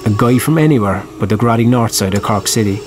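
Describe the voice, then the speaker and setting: An actor playing a probing, reflective writer with an Irish accent, narrating and talking in a radio drama. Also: male voice, lowish voice, fast talker, a little hiss or hum, close to an everyday voice. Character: probing, reflective male writer telling a troubled friend's story.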